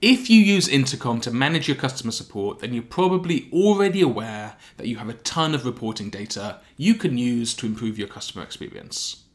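A man in his thirties giving a scripted explainer, step by step, with deliberate emphasis. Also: medium pitch. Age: 30s. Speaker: presenter of a software tutorial. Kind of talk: scripted explainer narration to camera.

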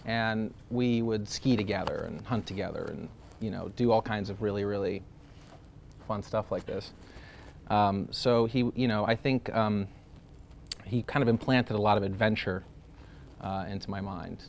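A man's voice: fairly low pitch, moderate pace, gravelly, slight background noise. A man in his thirties, born in Greece, talking casually in an interview with lots of fillers, restarts and laughs. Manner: rambling, many fillers and restarts, laughs often.

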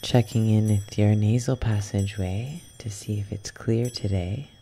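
A soft-spoken meditation guide leading an ASMR yoga nidra session in a soothing, unhurried manner with long pauses. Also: medium pitch, fairly even intonation, a little hiss or hum.

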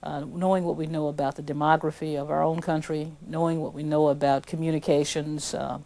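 Woman, middle-aged, professor, mellow voice, earnest, emphatic, interview. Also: low voice, flat delivery, fairly quick.

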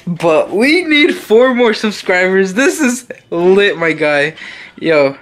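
A young man talking excitedly to his phone camera in a bedroom, full of slang. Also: high voice, slow. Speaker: vlogger. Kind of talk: casual vlog chat.

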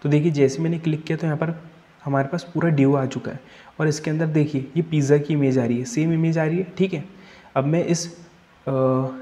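Mellow-voiced man explaining code step by step. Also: a little high. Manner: steady step-by-step explaining, thinks aloud while typing. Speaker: coding tutor. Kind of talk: screen-recorded coding tutorial.

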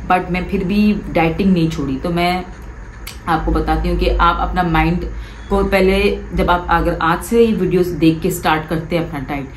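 Woman, thirties, lowish voice, echoey living room, direct coaching. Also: normal speed, a little hiss or hum. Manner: animated, gestures a lot with both hands; direct, coaxing advice to the viewer. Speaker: vlogger. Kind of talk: casual advice vlog. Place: living room, seated on a sofa.

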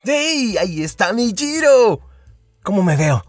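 A man playing an excitable, scheming, upbeat teenage hero student in a comic fandub, eager and wheedling. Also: sits high, slow pace, faint music behind, heavily acted. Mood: playful.

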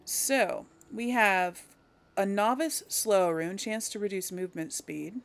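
A woman's voice: medium pitch, slow pace, gravelly. A woman casually commenting on a game she plays, musing and thinking aloud. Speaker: gaming video host and player. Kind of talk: casual let's play commentary.

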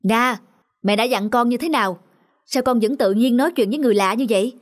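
A woman's voice: a little high, a little fast, lightly acted. A woman voicing a protective young mother in a cartoon, earnestly warning her child. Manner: earnest, admonishing.

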